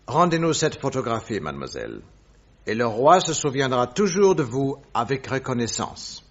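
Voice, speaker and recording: An actor playing a suave, self-assured detective posing as a royal secretary in a French radio drama, husky, formal and courteous. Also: male voice, medium pitch, very animated, normal speed. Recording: dull lo-fi radio recording.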